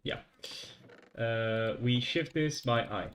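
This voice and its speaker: A man's voice: medium pitch, slow, a bit bright, slight background noise. A young man from France with a light French accent, thinking aloud as he codes on a livestream and chats with his viewers. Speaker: streamer. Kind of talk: casual coding livestream.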